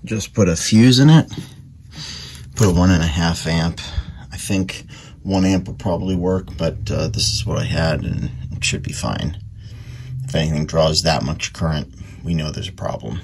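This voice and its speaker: A man with a very low voice talks casually through a hobby restoration. He murmurs short, practical remarks as he works, with faint noise and clicks around him. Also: normal speed, creaky, darkish tone. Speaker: hobbyist restoring an antique radio. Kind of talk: casual hands-on demonstration commentary. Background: clicks and an antique radio playing.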